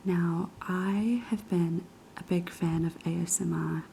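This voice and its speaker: Young NZ woman, raspy post-sickness whisper, slow ASMR delivery, dark mellow tone. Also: lowish voice, steady tone, slight background noise, low-quality recording. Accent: New Zealand. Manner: soft, unhurried whispering with many ums. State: hoarse after being sick. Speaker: ASMR creator. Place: kitchen of a quiet flat.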